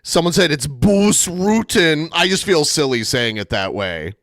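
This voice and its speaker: A man's voice: fairly high pitch, expressive, unhurried, fairly bright tone, no room sound, clean studio sound. A man hosting a podcast, talking in a rambling, sarcastic way with lots of "like" fillers. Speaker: podcast host. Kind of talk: casual reaction commentary.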